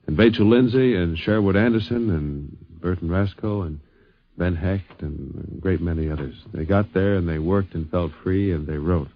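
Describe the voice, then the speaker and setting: A man's voice: low-pitched, no echo. A man narrating a 1950s radio documentary in a conversational style. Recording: dull, dry 1950s radio recording.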